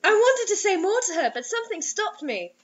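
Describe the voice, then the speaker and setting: A woman's voice: very high-pitched, big pitch swings, brisk. A young adult woman reading a story aloud dramatically, with sarcastic, mocking commentary. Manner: theatrical, sarcastic. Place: small room indoors. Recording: dull lo-fi webcam-style sound.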